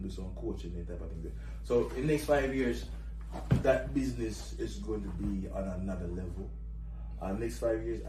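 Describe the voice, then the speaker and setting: Upbeat man in his forties, echoey room. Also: low voice. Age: 40s. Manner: upbeat, boastful, laughs often. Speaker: guest. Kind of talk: casual interview chat. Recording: echoey room, mic far off, noisy.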